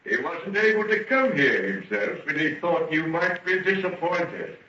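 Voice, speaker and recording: An actor playing a menacing, sly man with a falsely soothing, deliberate manner in an old radio horror drama. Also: a little high, expressive, pushed and bright. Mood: sinister coaxing. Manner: slow, deliberate, theatrical. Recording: dull, hissy, echoey old radio recording.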